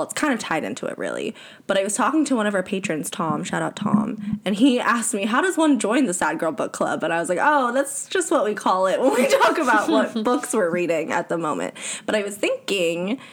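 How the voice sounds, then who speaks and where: A young American woman chatting casually and playfully as a podcast co-host. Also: medium pitch. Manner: giggly, playful, informal. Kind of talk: casual chat. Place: home studio in a bedroom.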